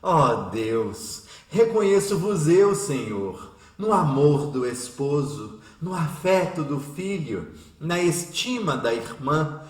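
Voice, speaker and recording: A man acting devout spiritist apostle, higher-pitched male voice, slow solemn declamation, radio drama prayer, roomy echo. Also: sing-song. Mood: reverent fervour. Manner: solemn, declamatory, poetic, with repeated rising invocations. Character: devout spiritist apostle, impassioned man praying aloud to God. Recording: roomy echo with music underneath.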